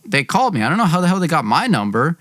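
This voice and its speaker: A man's voice: a little high, very animated, racing, fairly bright tone, no echo, clean studio sound. A young man hosting a podcast, telling a personal story in an informal, dramatized way. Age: young adult.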